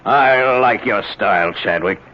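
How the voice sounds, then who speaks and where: An actor playing a folksy, flattering senator in 1970s radio drama, genial and persuasive. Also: male voice, on the low side, unhurried, natural voice. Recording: muffled 1970s radio recording.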